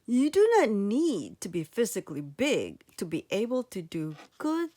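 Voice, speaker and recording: A woman voicing a huge male eagle in a children's storybook, stern but wise, commanding and deliberate. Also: mid-range voice, very animated, dark tone. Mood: approving. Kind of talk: children's storybook read-aloud.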